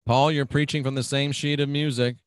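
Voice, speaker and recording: A man's voice: mid-range voice, level tone, brisk, smooth, dry recording. A man hosting his own radio show, chatting casually and reading out listener comments in a folksy, agreeable way. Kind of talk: casual talk reading out live chat comments.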